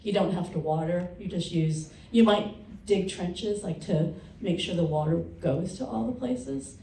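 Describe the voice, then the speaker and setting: A woman's voice: fairly low pitch, unhurried, warm tone. A woman speaking as an author on a panel, explaining things to an audience in an informal, chatty way. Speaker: panelist, children's book author. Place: panel session in a room. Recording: roomy, distant mic, faint noise.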